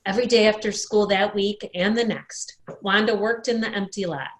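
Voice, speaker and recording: A woman telling a children's story in an expressive read-aloud style, recorded at home in a dining room. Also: medium pitch, moderate pace, low-quality recording. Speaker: storyteller at a worship service.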